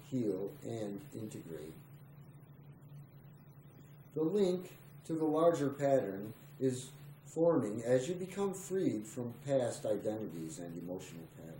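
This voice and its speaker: Male reader for spiritual text, slow and meditative delivery, roomy sound with slight background noise. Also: mid-range voice, dark tone. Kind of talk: slow meditative scripted reading.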